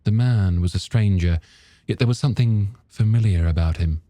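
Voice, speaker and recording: A man's voice: fairly low pitch, normal speed, warm tone, no echo. A man narrating a novel as an audiobook reader, in scripted storytelling style.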